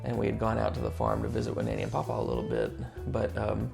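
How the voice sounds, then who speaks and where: A man with a low, heavily creaky voice reminisces casually about family times. He tells it as a halting anecdote, with music playing under his words. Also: big pitch swings. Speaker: family member telling a story.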